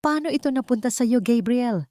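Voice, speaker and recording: A woman's voice: fairly high pitch, expressive, a little fast, mellow, no echo, pitched above the performer's own voice, lightly acted. A tender, perceptive heroine of a romantic audio drama as a woman narrator plays her. She speaks with open feeling in a dry, close recording. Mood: hurt, angry. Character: gentle, perceptive woman in love, easily wounded.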